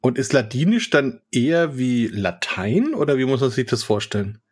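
A man hosting a beer podcast, describing a beer's aroma and taste in a relaxed, savoring way. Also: medium pitch, normal speed, husky. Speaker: podcast host. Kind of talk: beer tasting interview.

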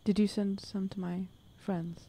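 A woman's voice: medium pitch, little pitch movement, unhurried, dark tone. A woman playing a tabletop game, speaking casually in and out of character into a close table mic. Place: room, group around a table. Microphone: table microphone on arm, close.

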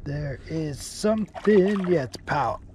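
A high-voiced, unhurried man reels in fish and cheers each catch from a small open boat at sea. He speaks loosely and excitedly into a head-mounted action camera. Loud wind and boat noise wash over the whole recording. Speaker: angler vlogger. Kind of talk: excited casual fishing commentary.

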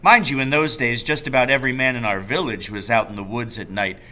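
A man's voice: medium pitch, steady tone, fairly quick, pushed and bright, close to an everyday voice. A man voicing an enthusiastic, roguish father in a storybook, telling tales with emphatic relish. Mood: proud, reminiscing.

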